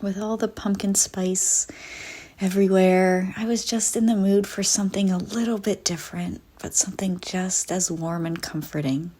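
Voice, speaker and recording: A woman narrating a scripted guided visualization in a soft, soothing, deliberate way with frequent pauses. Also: mid-range voice, fairly even intonation.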